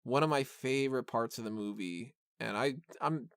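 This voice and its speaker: Clear-voiced man chatting casually, dry sound. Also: medium pitch, moderate pace, fairly bright tone, no room sound. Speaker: podcast host reviewing a film. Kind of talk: casual film discussion.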